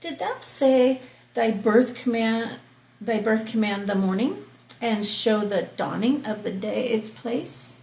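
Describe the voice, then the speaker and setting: A woman reading scripture aloud slowly and explaining it, stopping for casual asides and soft whispered remarks. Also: fairly high pitch, gravelly, roomy sound. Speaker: Bible reading host. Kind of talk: slow scripture reading with commentary.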